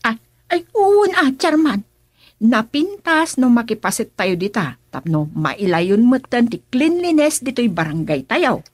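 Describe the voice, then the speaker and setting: An actress playing an outspoken, lively village council member in a rural comedy radio drama. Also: female voice, fairly high pitch, unhurried, dry recording, studio quality. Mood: enthusiastic.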